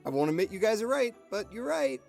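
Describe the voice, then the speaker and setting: A man's voice: high voice, sing-song, brisk, vocal fry, a little mellow, soft background music, heavily acted. A man playing a grumpy, sarcastic loner of a monster hunter in an audio drama.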